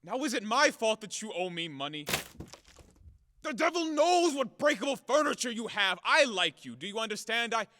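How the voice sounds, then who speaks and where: A man acting a blustering, hot-headed gentleman landowner in an audio play, melodramatic and declamatory, wildly in love. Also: very high-pitched, very animated, normal speed, heavily acted. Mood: agitated, passionate. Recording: long hall reverb, sometimes off-mic.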